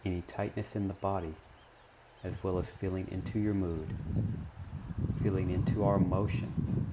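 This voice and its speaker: A man leading a guided meditation, speaking gently and in measured short phrases with long pauses between them. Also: deep voice, very noisy.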